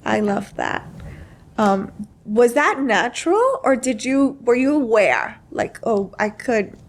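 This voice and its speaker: A woman's voice: a little high, animated, slow pace. A woman hosting a podcast interview, speaking casually and informally in an encouraging way. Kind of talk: casual supportive interview chat.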